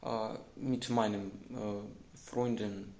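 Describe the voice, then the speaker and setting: A sixteen-year-old boy with a Ukrainian accent answers interview questions haltingly, searching for words. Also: on the low side, flat delivery, vocal fry, a little mellow. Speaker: interviewee, refugee student.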